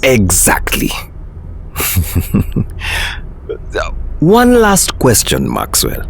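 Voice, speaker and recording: Sly corrupt official, motion comic, slow formal insinuating, pitch all over, faint noise behind. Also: male voice, huge pitch swings, gravelly, heavily acted. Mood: conspiratorial. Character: smooth-talking, corrupt male attorney general, sly and formal. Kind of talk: motion comic character acting. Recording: faint background noise and ambience.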